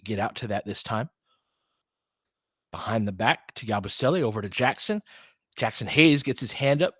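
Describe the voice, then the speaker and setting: A man's voice: fairly low pitch, lively intonation, normal speed, breathy edge, dry recording, clean studio sound. A man giving informal live basketball play-by-play as a partisan commentator who urges on the home team.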